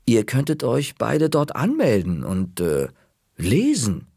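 A man's voice: mid-range voice, huge pitch swings, takes it slowly, vocal fry, darkish tone, husky, no echo, studio quality, exaggerated voice. A man doing a harried grumbling father. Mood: stern.

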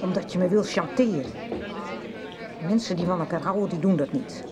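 A woman's voice: lowish voice, moderate pace, close to an everyday voice. A woman playing a defiant, broke artist and scornful ex-lover in a radio soap, blunt in manner. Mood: accusing. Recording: loud busy public-place background. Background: busy crowd noise.

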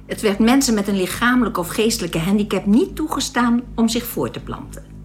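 Composed alien woman with lively intonation, acted by a woman. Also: medium pitch, a little fast, slight background noise, lightly acted. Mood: proud, matter-of-fact. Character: serene, proud young alien woman of a perfected race. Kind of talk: science-fiction radio drama acting.

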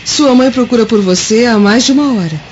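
A woman plays a jealous young wife in a Roman radio play. Her voice is fairly high, polite on the surface and pointed underneath. The recording is muffled, with faint noise. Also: fairly quick, darkish tone, close to an everyday voice. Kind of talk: radio drama acting.

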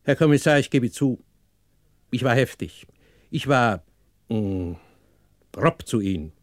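A man's voice: mid-range voice, lively intonation, takes it slowly, dry recording, clean studio sound. A male actor playing a proud, wealthy father with a stiff, conciliatory manner in a 1960s German radio crime drama.

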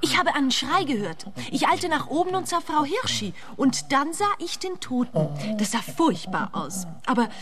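Animated, gossipy woman neighbour. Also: sits high, normal speed, a crowd in the background. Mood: dramatic, cheeky. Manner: theatrical, catty. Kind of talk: radio crime comedy acting.

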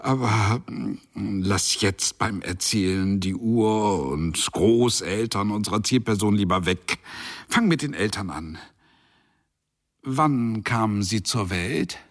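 An actor playing an impatient, forgetful old angel in a radio drama, halting and searching for words. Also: male voice, fairly low pitch. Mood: grumbling, urgent. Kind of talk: German radio drama acting. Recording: music behind the voice.

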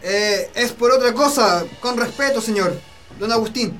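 Young chilean farm lad, really high voice, earnest pleading kind of respectful, radio drama. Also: male voice, moderate pace, a bit bright, faint music behind. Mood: solemn, resolute. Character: earnest, defiant young peasant in love. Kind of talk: Spanish-language radio drama acting.

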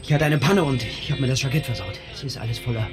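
An actor playing a tense doctor who stammers and grows frantic in a radio drama. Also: male voice, mid-range voice, fast talker, music in the background, natural voice. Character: nervous male doctor sliding into panic.

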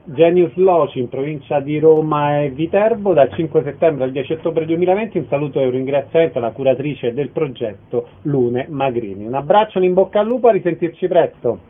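A man's voice: a little high, a little fast, hardly any pauses, slightly rough. A man hosting a radio interview, introducing and addressing his guests. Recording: narrow phone-like band, faint noise.

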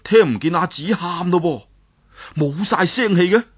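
A man telling a traditional story, dramatic and expressive, swinging his pitch up and down. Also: normal speed, husky.

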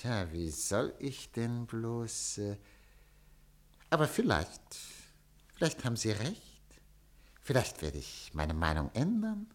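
A newspaper director in an old radio drama whose pitch swings widely as he talks. He is excitable and scheming, pushing hard for a big story. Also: slow, pauses often. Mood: flustered. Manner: agitated, wavering then excited. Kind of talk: 1950s radio play acting.

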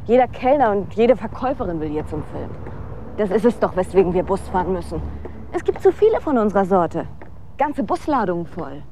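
A woman acting in a German radio drama as a sardonic, world-weary young aspiring actress with a deadpan, cynical delivery. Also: medium pitch, brisk, natural voice. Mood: scornful, dry. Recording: clear outdoor street and traffic noise. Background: city street ambience with vehicles.

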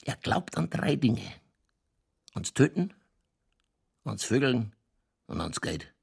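An actor playing a middle-aged, drunken, ranting Bavarian police chief in a radio crime drama, rambling and crude in a Lower Bavarian accent. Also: male voice, big pitch swings, slow pace, creaky, slightly rough, full character voice. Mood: brooding. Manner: rambling, folksy, crude, tipsy.

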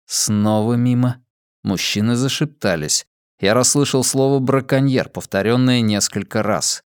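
A man's voice: fairly low pitch, measured pace, smooth, no room sound, clean studio sound. A man reading a first-person novel as a scripted audiobook narration.

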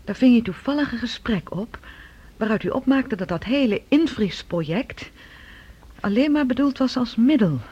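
An actress playing the earnest, formal adult daughter of a scientist in a radio drama, speaking persuasively. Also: female voice, a little high, lively intonation, normal speed, dark tone, husky. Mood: grave, confiding. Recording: dull, lo-fi radio recording.

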